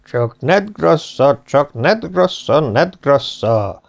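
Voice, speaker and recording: A man voicing a bearded, sly Turkish fruit vendor who tirelessly hawks his wares in hoarse, hollow, drawn-out, repeated cries. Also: fairly high pitch, sing-song. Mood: tireless hawking. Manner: drawn-out, repetitive hawker's cries.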